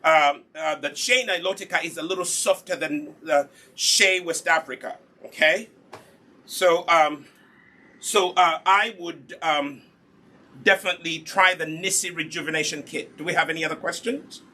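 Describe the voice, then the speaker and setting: A Ugandan man with a fairly high, bright voice that has a husky grain. He hosts a live demonstration in a casual, chatty way. He instructs as he goes and often repeats key points for his viewers. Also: moderate pace. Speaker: host, natural hair product seller and demonstrator.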